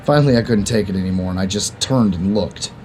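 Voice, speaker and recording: A young man narrating and acting a first-person audio drama, moving between calm storytelling and panic. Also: low-pitched, fast, faint music behind. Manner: expressive, swinging from calm narration to panicked outbursts.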